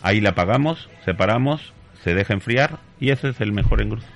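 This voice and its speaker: A man's voice: lowish voice, lively intonation, brisk, creaky. A man, a craftsman interviewed as a guest, explaining things in casual conversation. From Mexico.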